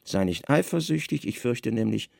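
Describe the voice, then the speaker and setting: A weary Roman governor played by a man, quietly commanding and grave. The voice is low, gravelly and measured, recorded very dry for a radio play. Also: lively intonation, no room sound. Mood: cold, dry.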